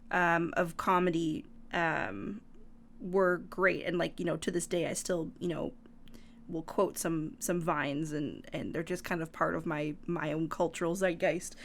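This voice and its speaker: A woman's voice: lowish voice, steady tone, measured pace. Easygoing woman co-hosting a podcast. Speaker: podcast co-host. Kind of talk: casual podcast chat.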